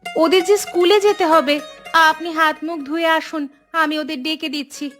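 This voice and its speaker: A high, bright young village wife for a cartoon. She is caring and hardworking, a dutiful daughter-in-law, with music underneath. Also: steady tone, moderate pace. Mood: concerned, reassuring. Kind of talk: Bengali cartoon voice acting.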